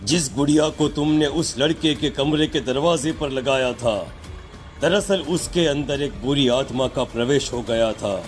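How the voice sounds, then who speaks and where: A man's voice: medium pitch, unhurried, faint music behind, close to an everyday voice. A man voicing a stern, accusing holy man who banishes spirits in a dramatized horror story. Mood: solemn command. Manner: solemn, commanding.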